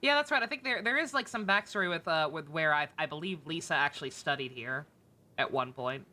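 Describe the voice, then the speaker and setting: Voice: mid-range voice, fairly quick, sharp tone. A game streamer giving casual, rambling, jokey livestream commentary and laughing easily. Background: video game music.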